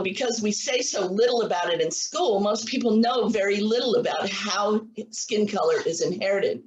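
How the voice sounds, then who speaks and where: A woman in her sixties giving an online biology lecture over slides, earnest and often restarting her sentences. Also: medium pitch. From United States. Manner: earnest, many restarts and repeated words. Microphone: computer mic on a video call. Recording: echoey room, voice a little far from the mic.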